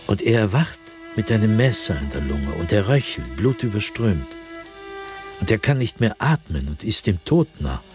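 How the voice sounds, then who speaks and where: An actor playing a tormented man sliding into madness, recounting his nightmares as a diary in a radio drama, in a brooding, halting manner that swings between hushed and agitated. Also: lowish voice, sing-song, music in the background. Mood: disturbed, tense. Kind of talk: dramatic radio play monologue.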